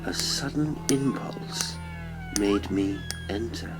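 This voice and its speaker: A man reading a classic horror story as a scripted audiobook, in a measured, literary storytelling manner. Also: lowish voice, lively intonation, over loud music. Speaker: audiobook narrator, horror podcast host.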